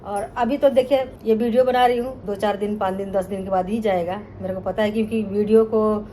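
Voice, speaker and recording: A woman's voice: mid-range voice, steady tone, a little fast, warm tone, a little hiss or hum, rough recording. A middle-aged woman from Bihar, telling her life story to viewers in a chatty vlog and often calling them friends. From Bihar, India. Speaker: cooking channel host.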